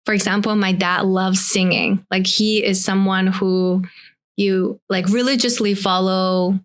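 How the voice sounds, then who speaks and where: Young woman from Taiwan, life-coach guest, mid-pitched, musing and talking through her thoughts on a chatty podcast. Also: steady tone, measured pace. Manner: reflective, thinks aloud with frequent "like" and restarts. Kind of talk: casual podcast conversation.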